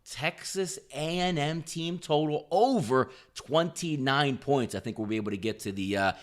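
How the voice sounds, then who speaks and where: A man's voice: on the high side, moderate pace. A man hosting a sports betting podcast, giving picks straight to listeners in a conversational, emphatic, sales-like way.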